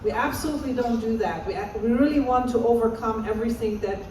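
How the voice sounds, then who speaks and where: Woman in her 50s, mellow encouraging presenter, heard from a distance in a booming hall. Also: quick pace, slight background noise. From Romania. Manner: encouraging, asks audience to call out answers. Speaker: assistant professor and presenter. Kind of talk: interactive conference talk. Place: large conference hall. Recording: echoey hall, voice far off.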